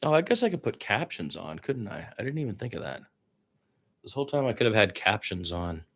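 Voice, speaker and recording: A man's voice: fairly low pitch, very animated, a little fast, fairly bright tone. A man giving informal radio-style basketball play-by-play, with blunt, conversational and opinionated asides. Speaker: play-by-play sports commentator.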